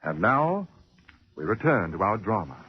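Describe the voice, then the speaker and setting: A man's voice: lowish voice, sing-song, unhurried. A male radio announcer reading an advertisement in 1940s broadcast style. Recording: muffled, scratchy 1940s radio recording.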